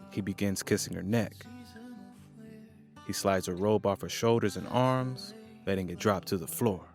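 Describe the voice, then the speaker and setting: Soft guitar music plays behind a man with a fairly low voice. He narrates an audio drama from a script.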